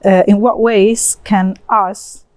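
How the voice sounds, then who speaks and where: A woman's voice: medium pitch, steady tone, takes it slowly, a little mellow. A young Italian woman with an Italian accent, hesitantly asking interview questions in English in a lecture hall. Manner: hesitant, with frequent "eh" fillers. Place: large university lecture hall, indoors.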